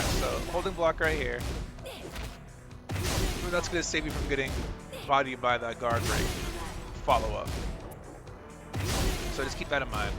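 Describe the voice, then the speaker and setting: A man giving casual, unscripted coaching commentary on a game replay, thinking aloud in an informal, hesitant way and repeating himself. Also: fairly high pitch. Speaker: gaming video creator giving match analysis. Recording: game music and battle sounds behind voice. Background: loud fighting game music and combat effects.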